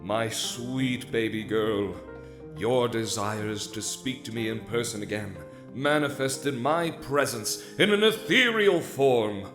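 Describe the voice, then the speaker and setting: A man's voice: mid-range voice, slow pace, lightly acted. Man acting echoing, doting ghost dad. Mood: tender. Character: doting, rambling ghostly father. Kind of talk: animated comedy voice acting. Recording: echoing, music behind. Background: music.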